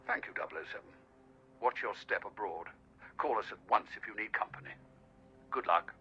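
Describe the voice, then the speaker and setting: An actor playing a brusque British spy chief in a radio drama, gruff, clipped and authoritative. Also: male voice, fairly low pitch, a little fast, pushed and bright, muffled recording, faint music behind. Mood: brisk, stern.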